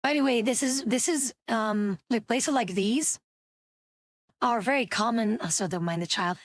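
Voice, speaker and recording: A woman's voice: fairly high pitch, measured pace. A woman from Belgium, chatting casually as a streamer reacting to clips, rambling with lots of fillers.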